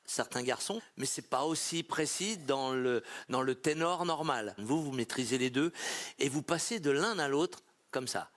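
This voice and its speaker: A man's voice: fairly high pitch, fairly bright tone. A man coaching on a talent show, enthusiastic and tripping over his own words as he praises a singer. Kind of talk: excited on-stage judging.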